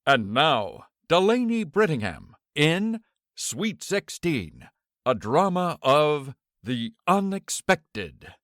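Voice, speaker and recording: A man's voice: a little high, big pitch swings, takes it slowly, fairly bright tone, no echo, clean studio sound, soft background music. A man delivering a scripted old-time radio drama introduction as announcer, in a melodramatic, portentous manner.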